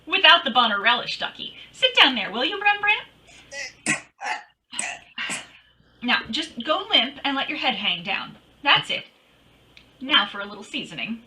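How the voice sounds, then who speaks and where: Woman acting a bright, swooping lady private eye. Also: high voice, big pitch swings, moderate pace, slight background noise, heavily acted. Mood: bossy, playful. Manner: breezy, teasing, wry. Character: bold, wisecracking female private detective narrating her case. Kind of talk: old-time radio detective drama acting.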